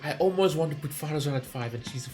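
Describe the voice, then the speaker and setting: A man's voice: a little high, fast, darkish tone. Man rambling enthusiastically over background music. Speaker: reaction video host. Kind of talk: casual gaming reaction commentary.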